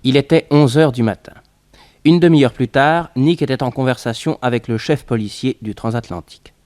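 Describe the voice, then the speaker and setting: A man's voice: mid-range voice, normal speed, darkish tone, no room sound, clean studio sound, lightly acted. A man narrating a scripted 1960s French radio detective serial.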